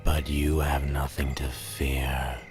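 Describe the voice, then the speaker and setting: A man narrating a Halloween radio drama in a slow, ominous, theatrical storytelling manner, with a breathy, hushed delivery. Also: a bass voice, level tone. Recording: music under the voice. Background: music.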